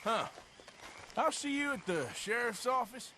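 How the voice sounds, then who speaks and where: An actor playing a gruff, laconic drifter outlaw with a rural American accent and a dry, sardonic manner in a video game. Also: male voice, very high voice, animated, unhurried, over music. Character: gruff, wry drifter outlaw in his thirties. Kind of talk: dramatic video game dialogue.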